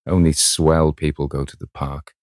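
A man voicing a blunt, grumbling rough young man in a storybook reading. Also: rumbling low, flat delivery, moderate pace, dry recording, clean studio sound. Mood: sullen.

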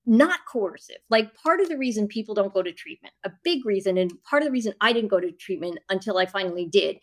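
A woman's voice: mid-range voice, lively intonation, fast. A woman interview guest debating and explaining her position in an insistent, argumentative manner. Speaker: guest, author and journalist.

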